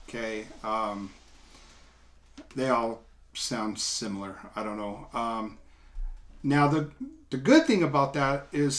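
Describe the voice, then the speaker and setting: A middle-aged man with an American accent gives a casual, unscripted product demonstration in a plain-spoken, informal way, explaining things step by step with halting delivery. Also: medium pitch. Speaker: product reviewer. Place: small indoor room, seated at a table.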